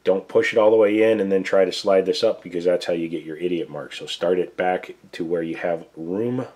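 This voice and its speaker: A man's voice: deep voice, brisk, darkish tone. A man who is losing his voice gives a casual, plain-spoken, step-by-step demonstration at his workbench. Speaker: gun reviewer, show host. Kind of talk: casual tabletop demo. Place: indoor workbench.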